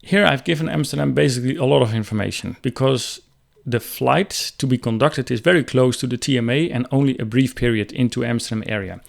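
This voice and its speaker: Man, thirties, medium pitch, gravelly fry, instructional pilot commentary, close desk mic. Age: 30s. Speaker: helicopter pilot explaining radio calls. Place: small room indoors. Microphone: close desk microphone.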